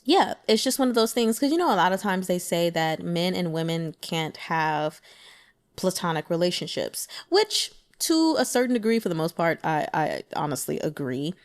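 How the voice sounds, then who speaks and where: A woman talking casually through her notes on a book, in a chatty, giggly and animated manner. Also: fairly low pitch, normal speed. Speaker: audiobook reader and book commentator.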